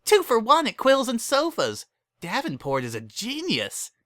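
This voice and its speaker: A hyperactive young mare from a cartoon world, played by a man, pitched way up high. She gushes and chatters with zealous party-planner energy, in bright, bouncy swoops. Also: wildly swooping pitch, measured pace, no room sound, clean studio sound, exaggerated voice. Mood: excited.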